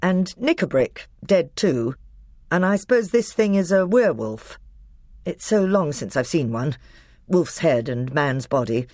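A narrator playing a courteous, confident boy high king with brisk, well-mannered authority. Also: animated, a little mellow, no room sound, clean studio sound, close to an everyday voice. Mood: composed, courteous.

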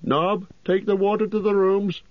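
A man putting on a high fussy rambling innkeeper. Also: steady tone, normal speed, no room sound, dull audio, close to an everyday voice. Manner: rambling, apologetic, chattering. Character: fussy rambling middle-aged male innkeeper.

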